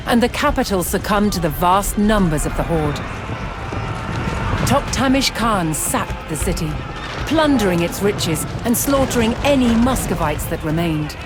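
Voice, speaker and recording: A woman reading scripted documentary narration in a measured, dramatic storytelling manner. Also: medium pitch, lively intonation. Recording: music under the voice. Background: music.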